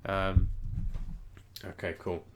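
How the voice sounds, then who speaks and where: A man's voice: low-pitched, little pitch movement, extremely slow, noisy background. A man with a cold, sounding nasal as he hosts a rambling, self-correcting live-stream esports news roundup and chats with viewers.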